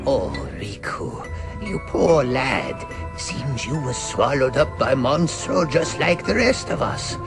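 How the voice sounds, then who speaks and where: A kindly, fretful old father from a video game. His voice is gravelly and quavers as it swoops up and down at a slow pace, with music playing behind it. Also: mid-range voice, big pitch swings, heavily acted. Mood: worried. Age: older.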